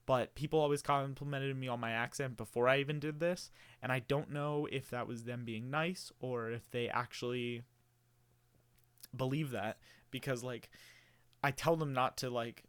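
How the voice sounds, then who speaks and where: Early-20s male vlogger, mid-pitched, clean resonant tone, loose meandering delivery. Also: normal speed, fairly bright tone, clear, resonant tone, no room sound. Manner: meandering, filler-heavy, restarts often. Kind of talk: casual rambling monologue.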